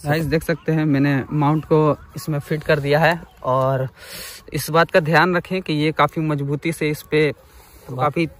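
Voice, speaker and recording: Higher-pitched man, casual vlogger. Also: slight background noise. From India. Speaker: motovlogger. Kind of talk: casual vlog demonstration.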